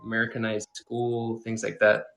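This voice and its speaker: A man in his twenties from Hawaii, a guest chatting casually in a livestream interview, relaxed with many fillers. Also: fairly low pitch, moderate pace, vocal fry, low-quality recording. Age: 20s.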